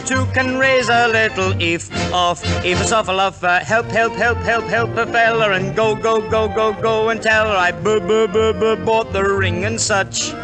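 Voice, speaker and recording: A man's voice: sits high, fairly even intonation, normal speed, few pauses, natural voice. A man playing a lovesick stutterer in a comic song, singing with exaggerated stammers over music on a vintage 1950s radio recording. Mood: bashful, comic.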